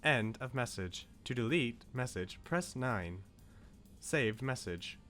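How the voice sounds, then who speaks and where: Man doing a slow automated voicemail voice. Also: mid-range voice, faint music behind. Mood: mechanical. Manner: drawn-out, flat announcing.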